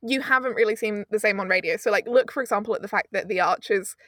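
A woman working as an audio producer, chatting as an interview guest in a lively way with frequent restarts. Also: medium pitch, no room sound, clean studio sound. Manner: animated, fast-talking with frequent restarts. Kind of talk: casual interview chat.

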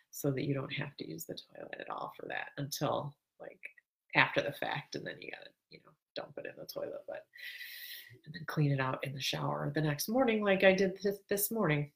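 Low-voiced stuffy woman rambling on her podcast. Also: creaky. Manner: rambling, self-correcting, laughs now and then. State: stuffy, congested. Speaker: podcast host. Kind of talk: casual solo podcast monologue.

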